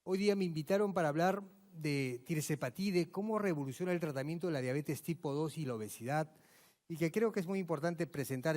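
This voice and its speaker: Doctor guy giving a conference talk, kinda high voice, big echoey hall, keeps asking the crowd questions. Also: darkish tone. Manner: emphatic, rhetorical questions, addresses audience directly. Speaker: physician lecturer. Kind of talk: medical conference lecture. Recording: long reverb of a large hall.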